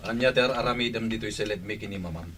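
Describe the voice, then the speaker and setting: A man's voice: fairly low pitch, fast talker, noisy background, lightly acted. Man playing a stern lecturing father. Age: middle-aged. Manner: reproachful, lecturing. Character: stern, scolding middle-aged father. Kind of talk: Ilocano radio-drama acting.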